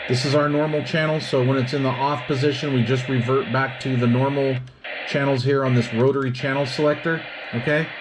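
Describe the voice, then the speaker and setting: A man's voice: medium pitch, fairly even intonation, brisk, background noise. A man giving a casual hands-on product review, explaining things step by step.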